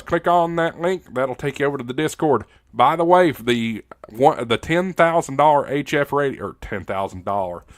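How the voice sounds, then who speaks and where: A man's voice: medium pitch, dry recording. An American man hosting a livestream, chatting casually in an informal, folksy, joking way as he talks to viewers. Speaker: livestream host. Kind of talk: casual livestream chat reading viewer comments.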